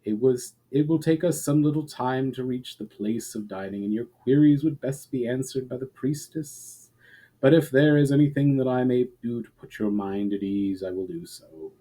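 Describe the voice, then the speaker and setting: A man voicing an ancient male elf border guard in a storybook reading, courteous, wistful and weary, with formal, measured speech. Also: medium pitch, dark tone, natural voice, low-quality recording. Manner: formal, measured, archaic phrasing.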